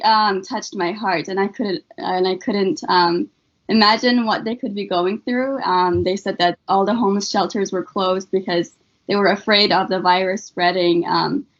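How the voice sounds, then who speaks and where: A woman's voice: mid-range voice, lo-fi sound. A young woman from Afghanistan, a student, earnestly answering interview questions about her life, with many filler words. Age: young adult. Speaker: student guest. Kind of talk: interview answers, personal story.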